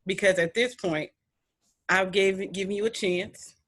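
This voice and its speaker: A young woman with a deep voice hosting a podcast, chatting in an animated, emphatic way with playful repetition. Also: a little slow. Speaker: podcast host. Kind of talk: casual chat.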